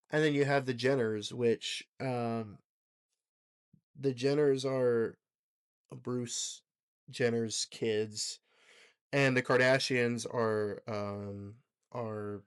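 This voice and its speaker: Hoarse young man, rambling podcast co-host. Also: medium pitch. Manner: rambling, filler-heavy, laughs often. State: hoarse, recovering from illness. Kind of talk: casual podcast chat.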